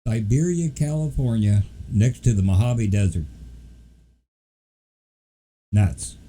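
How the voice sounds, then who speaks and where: A man's voice: lowish voice, animated, takes it slowly, mellow. A man recovering from the flu, hosting a casual livestream and chatting with viewers in a rambling, easygoing way. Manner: rambling, easygoing, many fillers. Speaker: livestream host.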